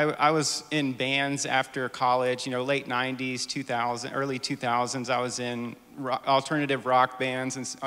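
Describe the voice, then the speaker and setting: A man in his forties tells a church congregation a story on stage, halting and joking through many fillers. His voice sits in the middle range and rings out in a long hall reverb from a handheld mic. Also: medium pitch, a bit bright, clear, resonant tone. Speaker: church band guitarist. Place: church stage before an audience.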